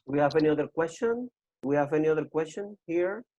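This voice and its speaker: A man's voice: on the high side, expressive, brisk, mellow, muffled recording. A man moderating a question session, casually inviting participants to speak.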